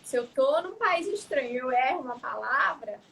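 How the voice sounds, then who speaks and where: A woman's voice: high voice, expressive, normal speed, gravelly, fairly bright tone, slight background noise. A young adult woman, a psychologist, talking in a casual interview, reflective and often adding tag questions. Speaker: psychologist guest.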